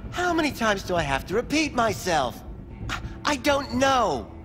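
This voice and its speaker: An actor playing a sleazy, defensive back-alley doctor in a video game, whining and stammering. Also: male voice, very high-pitched, very animated, moderate pace, over music. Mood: defensive, rattled. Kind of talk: video game dialogue acting.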